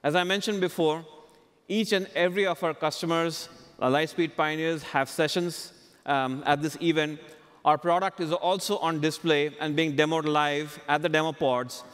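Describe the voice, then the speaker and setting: A man's voice: a little high, fairly even intonation, moderate pace, fairly bright tone, clear, resonant tone. A man in his forties hosting a customer interview on a conference stage, speaking into a headset microphone. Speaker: keynote host interviewing a customer. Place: large conference stage, indoors. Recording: large hall reverb on stage.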